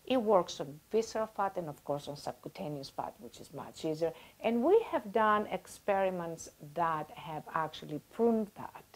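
A woman in her sixties explaining as a guest expert in an interview, animated and gesturing, using everyday comparisons, on a lapel mic in a small office. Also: fairly low pitch, moderate pace, few pauses, rough recording. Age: 60s.

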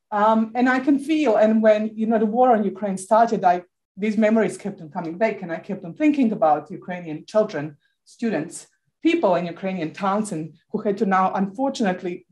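A middle-aged woman from Croatia with a Croatian accent, giving earnest, measured closing remarks in a university lecture room, recorded by a distant camera. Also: mid-range voice, darkish tone. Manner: earnest, measured, some hesitations. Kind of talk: formal closing address. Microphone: far camera, standing at lectern.

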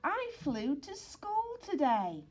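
Woman as an eager, high-pitched little boy puppy. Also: wildly swooping pitch, slow pace, darkish tone, exaggerated voice. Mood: insistent, excited. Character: earnest, excitable little boy puppy in a storybook.

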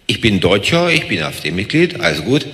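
A man from Hungary, an audience member in a large hall, asking a long earnest question and slowing down on the words he stresses. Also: on the low side, very animated, pushed and bright. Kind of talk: question from the floor. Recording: echoey hall.

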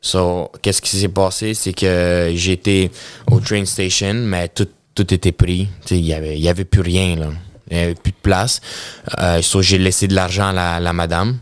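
Man with Quebec French accent, deep voice, chatty podcast guest, close dry mic. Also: normal speed, hardly any pauses, clear, resonant tone, no room sound. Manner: animated, many restarts, mixes in English words. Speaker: podcast guest, professional hockey player. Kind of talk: casual storytelling interview. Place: indoor podcast studio with bookshelves. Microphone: studio podcast mic on boom arm.

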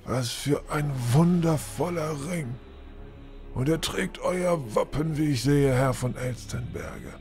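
A man's voice: a little high, takes it slowly, darkish tone, faint music behind. A man acting a pompous, condescending older lord with formal, theatrical courtesy in a fantasy audio drama. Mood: smug, courteous. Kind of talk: fan-made German fantasy audio drama.

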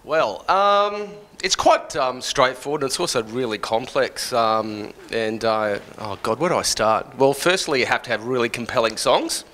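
A man in his forties giving unscripted advice to a live audience, halting as he thinks aloud. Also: mid-range voice, sharp tone. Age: 40s. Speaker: panelist, music radio plugger. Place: indoor event room with large windows. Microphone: handheld microphone through a PA.

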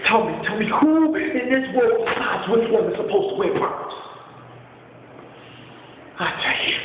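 A man playing a bitter, desperate grown son in an impassioned stage monologue to his mother. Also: medium pitch. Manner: impassioned, declamatory, swinging from shouts to hushed. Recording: echoey hall, muffled, faint noise.

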